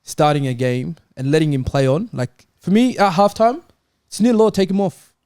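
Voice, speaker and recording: High-pitched man debating football. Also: moderate pace, darkish tone, dry recording, clean studio sound. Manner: argumentative, questioning, insistent. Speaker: football talk show panellist. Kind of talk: casual sports debate.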